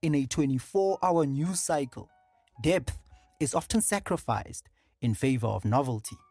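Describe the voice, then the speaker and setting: A narrator reading an audiobook in a measured, deliberate and formal way. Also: medium pitch, animated, warm tone, no room sound, faint music behind.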